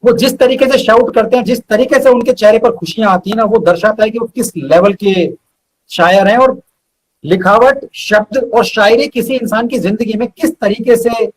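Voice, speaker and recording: A man's voice: sits high, moderate pace, slight background noise, rough recording. A man, a poet being interviewed, speaking earnestly and reciting with emphatic repetition. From India.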